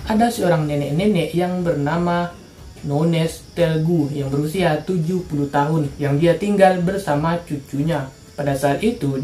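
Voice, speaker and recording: A woman's voice: sits low, mellow, roomy sound. A woman from Indonesia telling true-crime stories for her channel in a casual, slangy narrating style.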